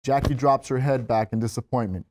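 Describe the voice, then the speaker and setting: A man's voice: medium pitch, steady tone, brisk, noisy background. A man reading scripted stage directions as the narrator at a table read.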